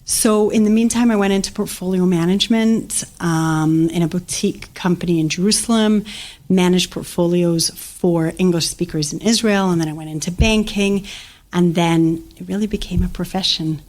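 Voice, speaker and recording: Guest expert: woman, Scottish-born, American accent, lower pitch, relaxed explainer. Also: moderate pace. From Scotland. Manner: friendly, explanatory, casual fillers. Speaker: financial planner guest. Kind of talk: conversational interview.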